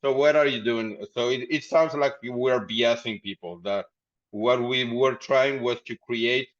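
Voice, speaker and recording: A man's voice: mid-range voice, a bit bright. A man, a company founder telling his story in a casual interview, rambling and laughing often. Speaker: podcast guest, company founder. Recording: dull lo-fi sound.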